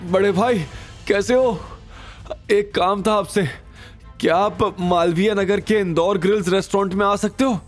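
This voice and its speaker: A male narrator acts out a humiliated bully from an audio drama, his voice pushed very high. He whines and blusters, aggrieved and set on revenge. Music plays underneath. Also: steady tone, measured pace. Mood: scheming. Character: whiny, vengeful bully humiliated after a beating.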